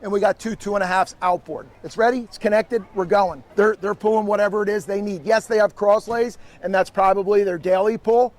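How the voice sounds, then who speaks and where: A man's voice: high-pitched, fairly even intonation, brisk, few pauses, darkish tone. A plain-spoken man giving an unscripted, matter-of-fact technical explanation as an expert guest. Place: outdoors at a convention.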